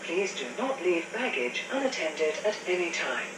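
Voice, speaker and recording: A British woman delivers recorded train announcements in a formal, measured way, with a fairly low voice and wide swings in pitch. She is heard through an echoey onboard speaker. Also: sing-song, far from the mic, heavy background noise. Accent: British English. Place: inside trains, played over announcement speakers.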